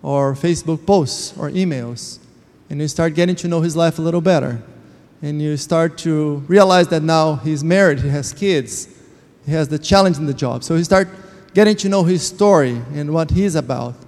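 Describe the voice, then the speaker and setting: A man shares a personal faith testimony, earnest and reflective, slowing down on the words that matter to him. His voice sits slightly high for a man. A long reverb from the church hall trails behind every phrase. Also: a little mellow. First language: Portuguese. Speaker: church member sharing a testimony.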